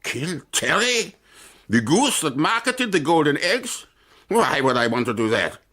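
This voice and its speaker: An actor playing a cynical, pompous, self-justifying art dealer in a radio drama, theatrical in manner. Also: male voice, fairly high pitch, very animated, measured pace, sharp tone, exaggerated voice. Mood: scheming.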